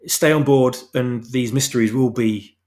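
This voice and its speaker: A man speaking as an author being interviewed, explaining casually in a halting but emphatic way, repeating phrases for stress. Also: medium pitch, steady tone, darkish tone. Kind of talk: casual explanatory chat about own story.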